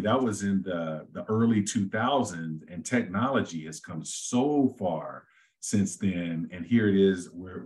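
Deep-voiced easygoing man. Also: a little mellow. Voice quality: deep voice said to suit radio. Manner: easygoing, talks at length with many fillers and restarts. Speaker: real estate agent, guest. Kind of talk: casual podcast interview.

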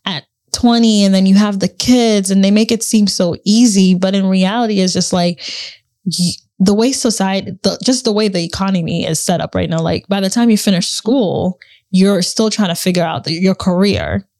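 A woman co-hosting a casual podcast chat, relaxed and thinking out loud with lots of fillers. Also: medium pitch, moderate pace, no room sound, studio quality. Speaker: podcast co-host.

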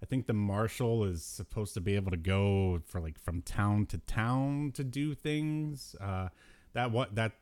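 A man hosting a podcast, chatting casually about retro cartoons in a hesitant, halting way. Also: fairly low pitch, darkish tone. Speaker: podcast host.

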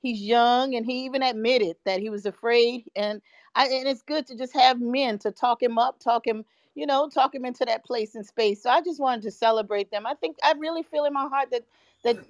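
A woman's voice: on the high side, moderate pace, dry recording. A woman calling into a live talk room to praise the hosts, speaking in a heartfelt, emphatic way. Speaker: caller.

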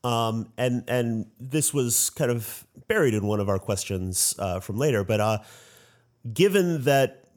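A man moderating a roundtable discussion, posing questions in a halting, reflective way. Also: mid-range voice, expressive, darkish tone.

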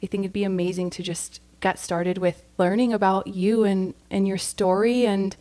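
A woman's voice: medium pitch, flat delivery, normal speed, warm tone. Woman podcast cohost, gushy and encouraging, casual interviewer. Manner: effusive and encouraging, with fillers and restarts. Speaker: podcast co-host.

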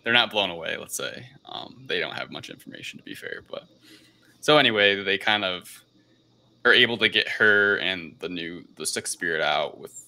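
A man's voice: sits low, animated, moderate pace, vocal fry, sharp tone. A man hosting a casual podcast film review, speaking in a hesitant, unscripted, rambling way. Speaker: podcast host.

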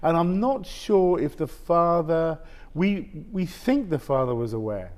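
A man in his fifties, a guest telling a personal story in casual conversation, halting and full of restarts. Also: on the high side, animated, darkish tone. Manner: halting, with many restarts and "basically". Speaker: interview guest.

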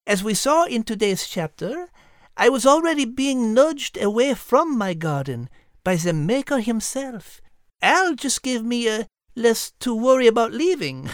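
A woman's voice: a little high, very animated, measured pace, no room sound, studio quality. A woman playing a prim, intellectual female cat with a French accent in a children's audio story, choosing her words carefully. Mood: diplomatic. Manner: prim, hesitant, carefully worded.

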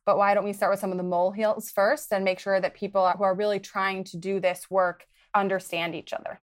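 A woman's voice: medium pitch, level tone, a little fast. Earnest woman from Wisconsin talking. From Wisconsin, United States. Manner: earnest, advice-giving, occasional laughs. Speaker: podcast guest. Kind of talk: conversational interview.

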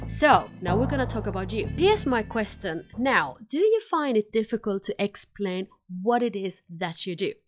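A woman with a Finnish-Swedish accent speaks straight to her listeners in a solo business podcast. She talks directly and with emphasis, often doubling words for stress, at a middling pitch. Faint music sits low behind her voice. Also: very animated, normal speed, few pauses. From Finland. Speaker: podcast host and business consultant. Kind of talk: solo podcast coaching talk.

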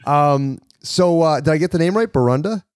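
A man's voice: on the high side, moderate pace, clear, resonant tone, dry recording, studio quality. A man from New York co-hosting a podcast, chatting loosely with plenty of fillers. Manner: relaxed, rambling with fillers. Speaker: podcast co-host. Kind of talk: casual podcast chat.

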